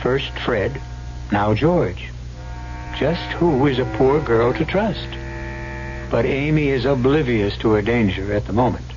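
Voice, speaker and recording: A man narrates as host of a 1970s radio mystery, wry and measured. Music plays clearly behind him, and the recording is dull. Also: medium pitch, animated. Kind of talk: scripted suspense narration.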